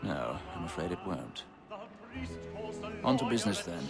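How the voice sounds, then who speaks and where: A polished, scheming gentleman whose somewhat low voice rises and falls with lively pitch. He speaks in a conspiratorial, courtly way. Music runs clearly beneath him. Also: normal speed, heavily acted. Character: genteel, scheming adult male society leader. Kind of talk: video game cutscene acting.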